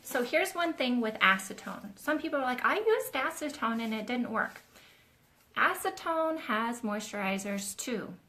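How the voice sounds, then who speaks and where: This is a woman hosting a live question-and-answer session for nail techs. Her voice is bright and forward, and she talks in a loose, chatty teaching style, explaining as she demonstrates on her own nails. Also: fairly high pitch, big pitch swings, a little slow. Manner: chatty, demonstrates while talking, addresses viewers as "you guys". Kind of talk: casual live-stream teaching.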